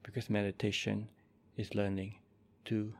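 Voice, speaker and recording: A Buddhist monk in his forties from Malaysia, speaking softly and calmly as he introduces and leads a guided meditation. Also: on the low side, creaky. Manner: slow, soft-spoken and calm, with gentle pauses.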